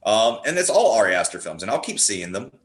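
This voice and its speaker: A man's voice: fairly low pitch, sing-song, quick pace. A man chatting casually about films in an opinionated, emphatic way. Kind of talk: casual film chat.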